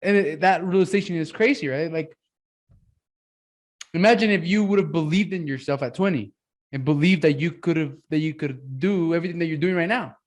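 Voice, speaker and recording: A man's voice: on the high side, brisk. A man of twenty-one hosting an interview, casual and affirming, often agreeing with "yeah, man". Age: 20s. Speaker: podcast host.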